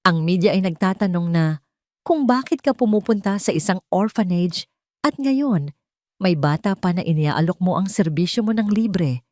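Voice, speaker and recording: A woman's voice: fairly low pitch, expressive, normal speed, a little mellow, dry recording, clean studio sound, lightly acted. A woman voicing a stern, haughty mother who scolds her grown son in a hard, reproachful manner.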